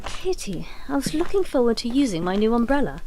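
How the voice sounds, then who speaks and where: An eccentric, brainy time traveller, played by a woman, speaking in a high, swooping voice. She is breezy and whimsical, lecturing like an audio drama heroine, with some background noise underneath. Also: big pitch swings, brisk, mellow, poor audio. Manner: whimsical, chatty, lecturing, quaint turns of phrase.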